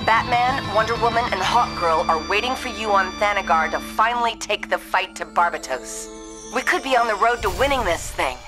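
A woman's voice: mid-range voice, expressive, normal speed, over music, close to an everyday voice. A woman playing a composed, capable mission guide in a video game briefing. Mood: hopeful.

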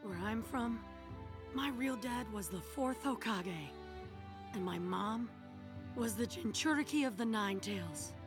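A woman playing a brash, stubborn teenage boy ninja hero with a raspy voice in an English anime dub. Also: a little high, lively intonation, takes it slowly, music in the background. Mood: somber, subdued. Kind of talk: English-dubbed anime acting.

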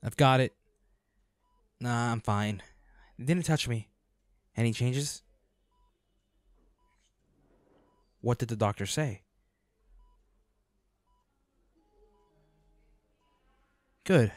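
A man's voice: mid-range voice, a little slow, dry recording, clean studio sound, close to an everyday voice. A man playing a protective, devoted hunter boyfriend in a dramatic roleplay audio, speaking earnestly and intensely. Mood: curt, subdued.

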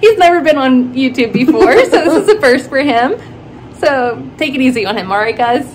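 Young female fitness vlogger, fairly high voice, excitable casual delivery, phone at arm's length with noticeable background noise. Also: normal speed. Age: young adult. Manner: bubbly, excitable, emphatic. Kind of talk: casual chat to camera. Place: mostly inside a car, also outdoors and a small room.

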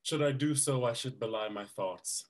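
An actor voicing an aged royal uncle answers with resigned heaviness. The voice is mid-pitched and plain. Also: male voice, moderate pace, close to an everyday voice. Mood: weary. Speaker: actor in a staged reading. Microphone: video call webcam.

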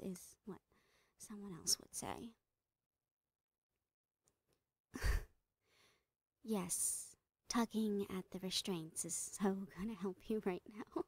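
A woman playing a smiling psychotic doctor in a horror ASMR roleplay, breathy and often whispering, soothing and falsely reassuring with drawn-out words. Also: medium pitch, dark tone, dry recording. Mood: soothing, sinister. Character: smiling psychotic woman doctor, sweetly menacing mad scientist. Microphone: close microphone, dry.

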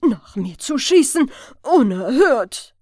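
Audiobook crime story: pompous, jittery police major, blustering and drawn out female reader, swooping pitch. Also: big pitch swings, slow, darkish tone, breathy edge, no room sound, clean studio sound, heavily acted. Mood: indignant. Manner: blustering, indignant. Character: indignant, easily frightened male police major, self-important superior.

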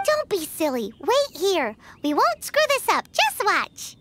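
A woman voicing a tiny, bubbly girl fairy companion in a video game, with cute, exaggerated, drawn-out delivery. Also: extremely high pitch, wildly swooping pitch, noisy background, heavily acted. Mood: confident. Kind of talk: video game character dialogue.

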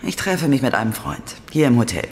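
An actress playing a spirited, exasperated private detective in an audio drama, defensive and wry. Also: female voice, extremely low pitch, lively intonation, brisk, creaky, exaggerated voice. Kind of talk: German audio drama dialogue. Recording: long reverb of a hall.

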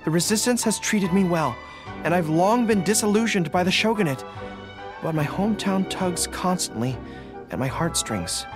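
An earnest young army general with dog ears in a video game. His pitch moves in lively swings as he speaks in deliberate, formal phrases. Soft music plays behind him. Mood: thoughtful. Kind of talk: video game character dialogue.

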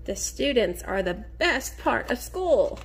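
Woman reading slowly to children, high lively pitch. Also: a little hiss or hum. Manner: expressive, sing-song, drawn-out key words; encouraging, addresses children directly. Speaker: teacher reading a picture book aloud to young children. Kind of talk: children's read-aloud with questions to the listeners.

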